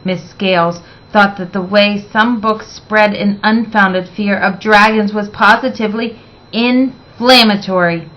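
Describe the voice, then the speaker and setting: A woman reading a picture book aloud in an expressive, deliberate way, stressing words for young listeners. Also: medium pitch, muffled recording. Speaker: children's story reader.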